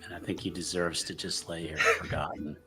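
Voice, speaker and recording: A man's voice: sits low, level tone, brisk, bright, forceful tone, faint music behind. A man playing a tabletop roleplaying game, moving between casual talk and speaking in character.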